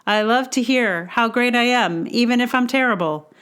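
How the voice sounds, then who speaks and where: A woman's voice: on the high side, normal speed, fairly bright tone, clean tone. A middle-aged woman hosting a podcast alone, musing casually in a hesitant, rambling way with self-deprecating humor. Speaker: podcast host, playwright and actor. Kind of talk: casual reflective solo podcast monologue.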